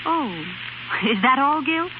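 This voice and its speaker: An actress playing a devoted, earnest young wife in 1930s radio drama style, polite and eager. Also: female voice, high voice, takes it slowly. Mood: earnest, defensive. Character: devoted young wife championing her husband's writing. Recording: noisy tinny 1930s radio recording.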